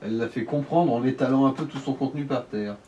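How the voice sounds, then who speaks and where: A man's voice: mid-range voice, fast talker, mellow, distant mic, slight background noise. A man chatting casually as co-commentator on a video game, giving colloquial advice to the player. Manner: colloquial, advising. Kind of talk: casual gaming chat.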